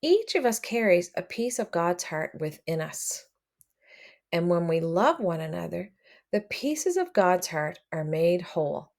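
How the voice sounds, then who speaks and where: Woman reading to children, very lively intonation. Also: on the low side, a little slow. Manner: expressive, addresses children directly. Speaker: read-aloud storyteller for children. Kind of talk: picture book read aloud with commentary.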